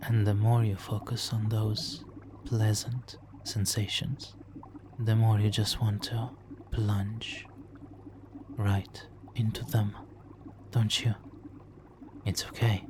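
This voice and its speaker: A man playing a smooth-talking supervillain nemesis in an ASMR roleplay, hushed, soothing and coaxing as he hypnotically seduces a captive hero. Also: fairly low pitch, flat delivery, noisy background. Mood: hypnotic, coaxing. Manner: slow, hushed, soothing, coaxing with soft chuckles. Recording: water trickling behind the voice.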